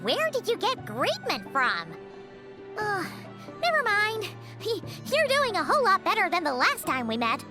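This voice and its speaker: Squeaky, tiny childlike game sidekick. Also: female voice, a little slow, music in the background, exaggerated voice. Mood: exasperated. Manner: animated, informal. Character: tiny, childlike female companion, chatty and easily exasperated. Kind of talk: video game voice acting.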